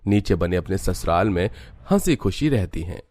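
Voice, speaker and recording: A man's voice: deep voice, lively intonation, normal speed, a little mellow, no echo. A man reading scripted storytelling narration for a cartoon tale.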